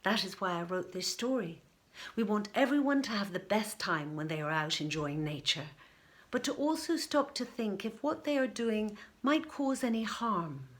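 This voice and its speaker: A friendly woman who looks after trees, as a woman storyteller plays her. She addresses schoolchildren in a middle-pitched voice, encouraging and gently instructive, in a storybook style. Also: lively intonation, moderate pace, lightly acted.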